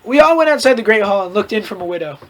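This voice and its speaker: A man's voice: high voice, animated, very fast, a little hiss or hum. A man reading a story aloud in a casual comedic way, mock-dramatic and often breaking off to riff. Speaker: host reading fan fiction aloud with commentary.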